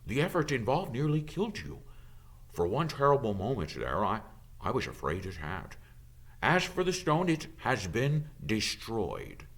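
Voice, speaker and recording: A man voicing a kindly, calm old wizard headmaster in an audiobook, musing and hesitating as he gently reassures. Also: mid-range voice, unhurried, exaggerated voice. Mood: gentle, grave.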